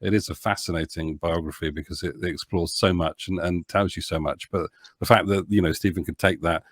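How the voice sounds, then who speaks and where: A man with a very low voice talks casually as a guest in an interview. He is earnest and halting, repeating words as he goes, and the sound is dry and close. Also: steady tone, dry recording. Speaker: podcast guest, audio drama producer.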